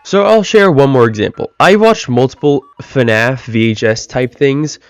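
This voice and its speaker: A man's voice: mid-range voice, animated, a little slow, smooth. Exasperated man giving opinions over music. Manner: exasperated, rhetorical questioning. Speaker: video essayist. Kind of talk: casual opinion commentary video. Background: background music.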